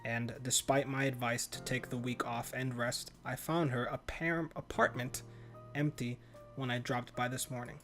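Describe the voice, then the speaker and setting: A man's voice: medium pitch, fairly even intonation, moderate pace, a bit bright, faint music behind. A man reading visual novel narration aloud as a let's player, occasionally stumbling and rereading words.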